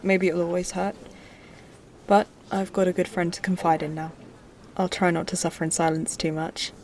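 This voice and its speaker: An actress playing a sincere, good-natured starship engineer in a sci-fi audio drama. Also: female voice, lowish voice, little pitch movement, normal speed, a little mellow, close to an everyday voice. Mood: earnest.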